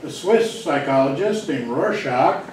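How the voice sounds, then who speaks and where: A man's voice: fairly high pitch, lively intonation, a little slow, darkish tone. A man giving an informal, chatty psychology lecture, talking straight to his students and wandering off into asides. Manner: chatty, digressive, talks directly to students. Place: lecture hall. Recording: roomy hall, distant mic, faint hiss.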